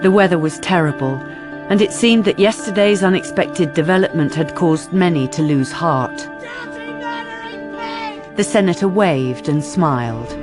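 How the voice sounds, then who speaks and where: A woman narrating a radio drama from a script, measured and factual. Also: on the low side, music in the background.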